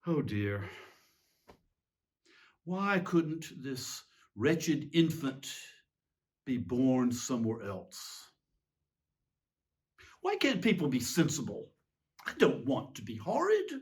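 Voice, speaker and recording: A man's voice: mid-range voice, huge pitch swings, slow, long pauses. A man playing a weary, self-pitying tyrant king in a theatrical monologue, fussy, pompous and plaintive. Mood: weary, exasperated. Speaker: reader giving a dramatic reading. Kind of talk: theatrical dramatic monologue reading.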